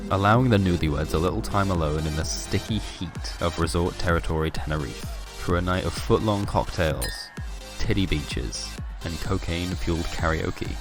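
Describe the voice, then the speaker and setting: Man, low pitch, scripted horror narration, measured storyteller, music behind. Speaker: narrator and host of a fiction podcast. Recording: music bed under the voice.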